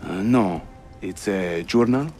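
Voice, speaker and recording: A man playing a jovial, teasing Turkish assassin chief in a video game, with a Turkish accent and a playful, expressive delivery. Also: low voice, takes it slowly, soft background music. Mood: curious, brisk. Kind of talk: video game cutscene acting.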